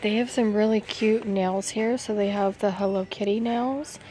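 A woman's voice: medium pitch, steady tone, warm tone, faint music behind. A woman in her thirties giving casual item-by-item vlog commentary while shopping, filmed on a handheld camera. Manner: unhurried, item-by-item commentary with pauses. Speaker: vlogger. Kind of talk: casual shop-with-me vlog narration. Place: retail store aisles, some in a parked car. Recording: clear background store noise and ambience.

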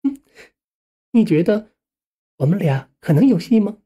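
A man's voice: sits high, sing-song, a little slow, warm tone, slightly rough, exaggerated voice. A man voicing a blunt, forward, teasing young woman in an audiobook. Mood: cool, teasing.

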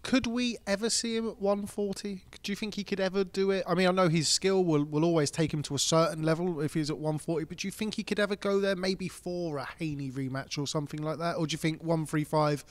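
A man's voice: a little high, fairly even intonation, quick pace, hardly any pauses, dry recording. A man interviewing a boxing manager, asking long, rambling questions.

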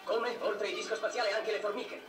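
A young hero pilot voiced by a man in an old anime dub, fierce and urgent. He speaks high and very quickly. Loud music plays behind him on a distant film soundtrack. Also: steady tone, echoey room, lightly acted. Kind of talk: dubbed 1970s anime acting.

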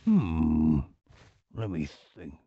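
A man's voice: rumbling low, sing-song, very slow, gravelly, slightly rough, no room sound, narrow, tinny audio, exaggerated voice. An actor playing a servile, downtrodden teddy bear henchman in a game cartoon, hesitant and glum. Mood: hesitant, meek. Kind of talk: animated game cutscene acting.

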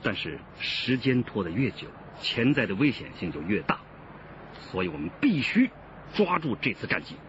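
An actor playing a steady, commanding, patient male police detective chief in a Chinese radio crime drama. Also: mid-range voice, normal speed, noisy background. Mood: grave briefing. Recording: muffled band-limited audio with music behind.

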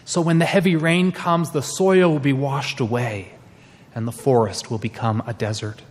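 A man's voice: medium pitch, fairly even intonation, moderate pace, long reverb tail, lightly acted. A man voicing a troop of monkeys who warn a woodcutter in a storybook. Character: wise whispering troop of monkeys.

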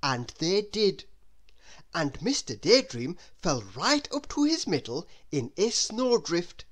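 A woman narrates a children's story in a lowish voice with sweeping intonation. She takes her time, reading very slowly and stretching out phrase endings expressively. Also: sing-song, husky. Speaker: storybook reader. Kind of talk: children's read-aloud narration.

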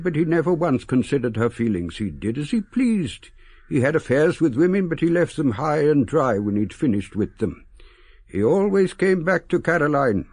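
An actor playing a sentimental, eloquent old English gentleman in a radio drama, reminiscing with wistful, theatrical, deliberate phrasing. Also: fairly high pitch, husky, no echo, full character voice. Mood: frank, reminiscing. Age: older.

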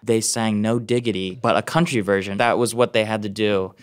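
A man's voice: lowish voice, steady tone, a little fast, slight background noise. A man in his thirties, a guest on a podcast, telling stories casually with self-deprecating laughter. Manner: self-deprecating, giggly storyteller. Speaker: field producer, podcast guest. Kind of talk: casual chat. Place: small podcast studio. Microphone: large studio mic on a stand.

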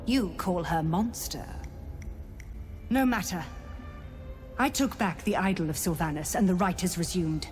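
An actress playing a stern, ruthless elf druid leader in a fantasy game, imperious and commanding. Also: female voice, lowish voice, unhurried, pauses often, a little mellow, close to an everyday voice. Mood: cold, defiant. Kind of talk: dramatic fantasy game acting. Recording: long reverb with music behind.

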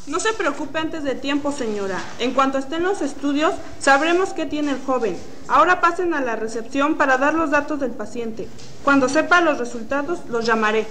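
Woman acting high-voiced, formal doctor. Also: animated, moderate pace, a bit bright, slight background noise. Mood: calm, formal. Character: calm, formal hospital doctor. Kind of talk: student radio drama acting.

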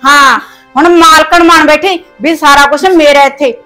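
A woman playing a sharp-tongued mother-in-law. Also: sits high, fairly even intonation, normal speed, soft background music. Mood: scolding. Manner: scolding, sarcastic, full of folk sayings. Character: harsh, taunting middle-aged mother-in-law. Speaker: actor in a family skit. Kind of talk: domestic comedy-drama skit.

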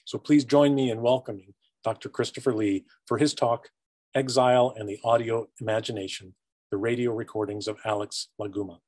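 A man's voice: medium pitch, fairly even intonation, brisk, darkish tone, slightly rough, no room sound. A man in his fifties reading a formal prepared introduction of a speaker over a video call. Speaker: archive director introducing a speaker. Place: indoor office with bookshelves. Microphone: video call, computer microphone.

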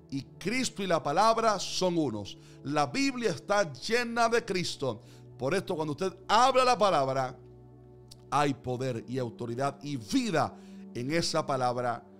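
Slow, emphatic male preacher over music. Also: on the high side, a bit bright. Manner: emphatic, repetitive phrasing in building lists. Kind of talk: sermon, expository Bible preaching.

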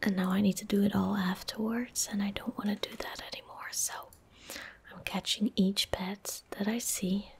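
Female ASMR gamer, twenties: whispered, very breathy delivery, gentle and unhurried, close-miked. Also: medium pitch, little pitch movement. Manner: slow, unhurried, gentle murmuring. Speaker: ASMR streamer. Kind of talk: soft-spoken ASMR game commentary. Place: small indoor room at a desk. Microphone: close desk microphone.

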